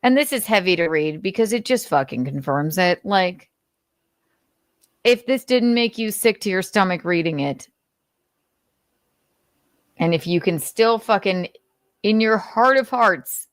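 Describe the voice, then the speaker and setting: A woman's voice: medium pitch, lively intonation, a little slow, pauses often, no echo, clean studio sound. A woman host giving commentary while reading aloud, sardonic and exasperated, with casual swearing. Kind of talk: commentary mixed with dramatic reading.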